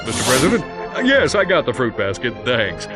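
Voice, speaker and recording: An actor playing a vain, dim, blustering cartoon superhero in a game, cocky and self-important. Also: male voice, medium pitch, huge pitch swings, a little fast. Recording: music behind the voice.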